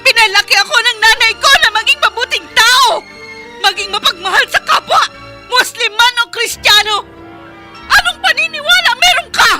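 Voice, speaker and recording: A tearful, impassioned young woman in a radio play. Her voice is very high, and clear music plays behind her. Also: normal speed. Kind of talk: radio drama acting.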